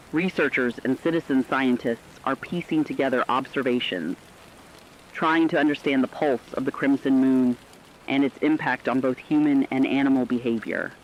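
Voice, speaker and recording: A man playing a serious radio reporter, delivering scripted emergency narration in a measured way. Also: medium pitch, lo-fi sound, a little hiss or hum, lightly acted. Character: serious male radio reporter covering a werewolf catastrophe.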